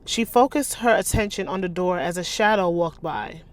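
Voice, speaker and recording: A woman's voice: on the low side, moderate pace. A woman author reading her own novel aloud, with sassy, incredulous, slangy commentary in a casual style.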